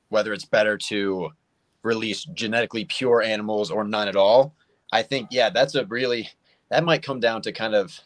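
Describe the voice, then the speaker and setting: A man answering as an interview guest in a relaxed, rambling way with many fillers. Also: fairly low pitch. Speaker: guest, frog keeper.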